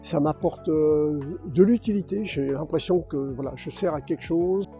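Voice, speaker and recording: A man's voice: a little high, moderate pace, mellow. A man of seventy giving an interview testimonial, hesitant and searching for his words. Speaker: retired volunteer mentor. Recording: dull sound, music behind. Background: music.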